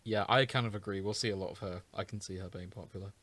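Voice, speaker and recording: A man's voice: lowish voice, steady tone, fast, fairly bright tone. A man co-hosting a podcast, chatting casually about fan fiction. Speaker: podcast co-host.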